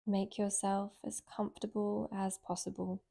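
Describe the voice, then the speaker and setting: A woman leading a guided relaxation in a gentle, soothing, unhurried way with long pauses. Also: medium pitch, little pitch movement, vocal fry. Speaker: meditation guide, yoga nidra teacher.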